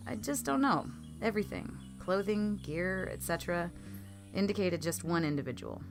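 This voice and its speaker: A woman's voice: on the low side, normal speed, over music. A woman reading creepy first-person stories aloud as a narrator, in scripted storytelling style. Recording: clearly noisy background.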